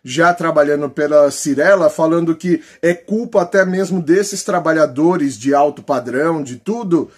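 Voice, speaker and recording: A man in his forties with a Brazilian accent and a slightly husky voice, giving colloquial, opinionated vlog commentary with emphasis. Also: on the high side, moderate pace, hardly any pauses. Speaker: political YouTuber. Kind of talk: vlog commentary, reading posts aloud. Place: small plain room indoors.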